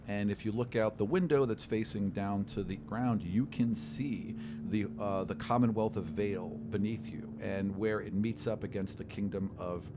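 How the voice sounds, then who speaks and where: A man working as game master, narrating a tabletop game in a casual, halting, descriptive way. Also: fairly low pitch. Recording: faint background noise.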